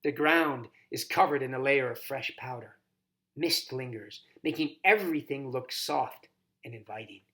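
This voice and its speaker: A man narrating a first-person children's story as a volunteer reader, expressive and scripted. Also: mid-range voice, normal speed.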